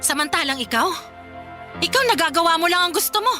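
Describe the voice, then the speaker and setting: A woman's voice: very high voice, expressive, a little fast, pushed and bright, faint music behind, full character voice. A woman playing a worn-out, bitter and defiant daughter in an emotional radio drama, pleading with emphatic repetition. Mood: defiant, weary. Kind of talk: Filipino radio drama acting.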